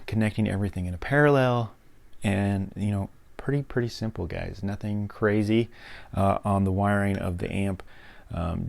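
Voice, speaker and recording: A man's voice: on the low side, creaky, darkish tone. An American man presenting a DIY project walkthrough in an informal, rambling way, often repeating words for emphasis. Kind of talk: casual hands-on project walkthrough.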